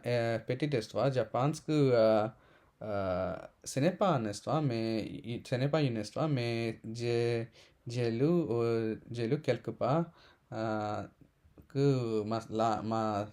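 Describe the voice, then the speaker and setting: A man in his twenties from India, a Telugu speaker, talking in halting learner's French with many pauses and self-corrections. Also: medium pitch, darkish tone, clean tone. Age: 20s. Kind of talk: casual unscripted monologue.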